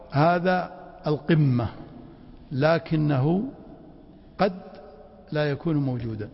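A man teaching a class on Islamic law in a mosque, quizzing students with quick rhetorical prompts. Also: on the high side, gravelly. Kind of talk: classroom lecture with questions to students. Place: mosque study circle. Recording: dull lo-fi recording in a reverberant hall.

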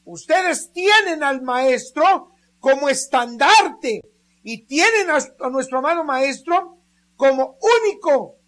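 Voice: sits high, animated, slow pace, a bit bright. A radio preacher delivering a sermon, speaking emphatically and building the message through rhetorical questions.

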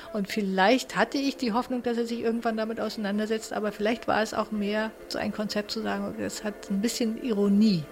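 Creaky-voiced woman, fairly even pitch. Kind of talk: reflective spontaneous interview talk.

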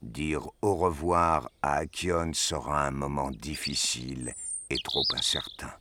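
A man's voice: very deep voice, steady tone, slow, very noisy. A man reading scripted narration for an audio drama as its storyteller.

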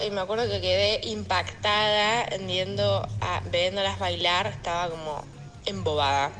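A woman's voice: medium pitch, a bit bright. A woman with an Argentine Rioplatense accent telling a casual personal anecdote in a voice message. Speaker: listener sending a voice message. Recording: noisy voice message with ambient sound.

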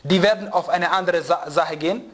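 Male religious teacher, high voice, emphatic, repeats points and quizzes his class. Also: brisk, dull audio. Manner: emphatic, repeats key phrases, asks rhetorical questions; searches for German words and asks students. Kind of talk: religious lesson to students.

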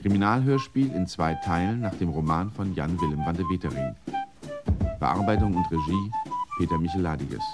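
A man's voice: low voice, animated, normal speed. A man reading scripted title announcements as a radio play announcer. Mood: formal. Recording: loud music under the voice.

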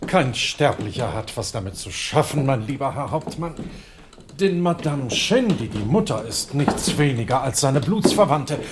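A man's voice: medium pitch, sing-song, normal speed, background noise, close to an everyday voice. An actor playing a wry, witty clergyman with precise delivery in a comic radio play.

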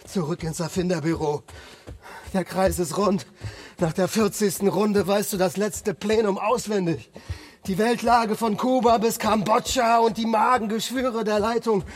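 Exasperated high-pitched man, ranting sardonically. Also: fairly even intonation, a little slow. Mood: sardonic, exasperated. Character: exasperated male worker-inventor ranting about bureaucratic runaround. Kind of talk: stylised stage-like radio play delivery. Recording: music clearly behind the voice.

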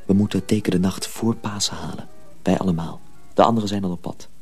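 Deep-voiced calm senior apprentice. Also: male voice, fast, breathy edge, faint music behind. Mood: calm, grave. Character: calm, knowing older fellow apprentice at night. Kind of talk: radio drama acting.

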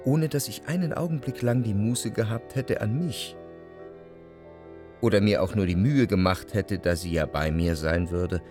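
An actor playing an introspective, jealous lover who narrates his memories in a measured, literary radio drama style. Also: fairly low pitch, faint music behind, close to an everyday voice. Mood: reflective, brooding. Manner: reflective, measured literary delivery in long sentences.

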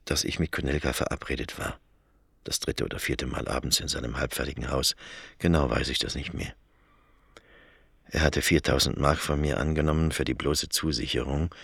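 A weary, embittered man confessing in a radio monologue. The voice is extremely deep, gravelly and husky. His delivery is sober and measured. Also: fairly bright tone, no echo, studio quality, full character voice.

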